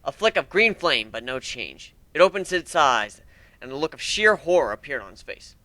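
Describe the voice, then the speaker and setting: A man giving an expressive amateur dramatic reading of first-person fantasy fiction. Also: fairly high pitch, moderate pace, dry recording. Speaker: amateur story reader.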